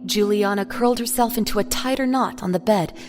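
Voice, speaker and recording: A woman's voice: medium pitch, brisk. Woman narrating a drama over music. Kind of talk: scripted narration of an audio drama.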